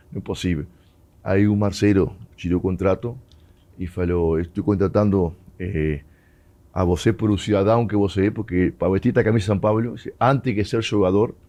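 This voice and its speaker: Low-voiced Uruguayan man. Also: warm tone. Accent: Uruguayan Spanish. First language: Spanish. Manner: mixes Portuguese and Spanish, laughs often. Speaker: former footballer guest. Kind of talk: storytelling interview.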